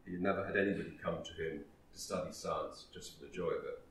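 Deep-voiced man giving a history talk. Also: fairly even intonation, quick pace, fairly bright tone, a little hiss or hum. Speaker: lecturer. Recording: roomy echo, distant mic.

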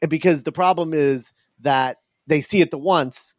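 A man's voice: a little high, a little slow, no room sound, clean studio sound. A man co-hosting a podcast in casual film discussion, animatedly acting out imagined reactions as he makes his points. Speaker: podcast co-host.

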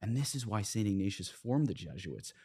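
This is a man with a low voice, speaking as a priest in an earnest religious conversation. He argues with emphasis and often sets up his points as rhetorical questions. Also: big pitch swings, brisk, darkish tone, breathy edge. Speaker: priest, podcast guest.

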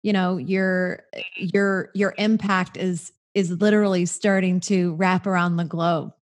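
A woman's voice: lowish voice, steady tone, a little slow. Woman podcast host, encouraging, gives short affirmations. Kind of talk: podcast interview.

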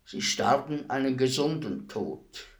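Slow, gravelly, world-weary executioner. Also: male voice, medium pitch, animated. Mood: proud, nostalgic. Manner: deliberate, brooding, formally addressing a lady. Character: world-weary executioner, wistful, respectful, philosophical about dying. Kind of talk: radio play acting, slow reflective monologue.

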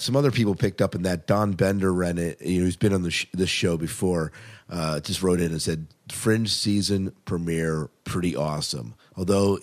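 Man, very deep pitch, podcast host, casual TV review chat, fan enthusiasm.

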